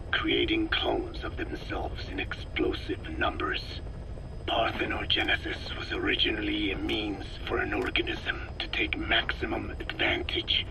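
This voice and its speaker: An actor playing a cold, older male scientist who lectures slowly in a gravelly whisper, on a game audio tape. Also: lowish voice, noisy background, full character voice. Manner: slow, lecturing, measured. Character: cold elderly male parasite scientist, calm and clinical. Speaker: scientist explaining parasites. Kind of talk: game audio log acting.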